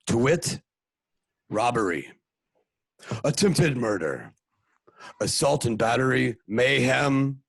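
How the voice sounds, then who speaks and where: A man voicing a stern masked crimefighter in an amateur radio play, gravelly and deliberate. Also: fairly low pitch, no room sound, heavily acted. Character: stern masked male crimefighter acting as prosecutor.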